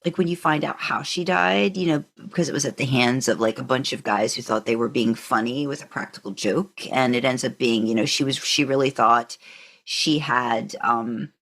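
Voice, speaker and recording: A woman's voice: very deep voice, normal speed, a bit bright. A woman co-hosting a podcast, talking through her opinions in a casual, conversational way with hedging asides. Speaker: podcast co-host; narrator by trade. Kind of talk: casual fan discussion.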